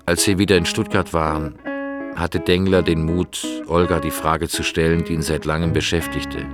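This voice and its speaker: A man's voice: low voice, little pitch movement, normal speed, music in the background. A man narrating a radio drama in scripted storytelling prose.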